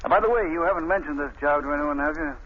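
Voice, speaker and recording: A menacing male crime boss from an old radio drama, played by an actor. He is commanding and scheming, with a fairly high voice. The recording is very tinny with a faint hiss. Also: very fast, lightly acted. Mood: smooth, businesslike. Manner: commanding, threatening. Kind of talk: 1940s radio acting.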